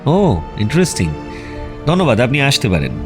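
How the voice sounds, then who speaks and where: A man playing a composed, detached private detective who talks in a measured way in an audio drama. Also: medium pitch, big pitch swings, lightly acted. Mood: polite questioning. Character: calm, methodical male private detective. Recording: faint music behind the voice.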